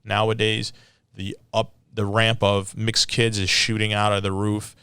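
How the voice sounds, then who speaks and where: A lowish male voice with a creaky edge and a resonant core, in a very dry recording. He is a podcast host who asks probing questions in a loose, conversational way. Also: little pitch movement, measured pace, sharp tone, no echo. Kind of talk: casual conversational interviewing.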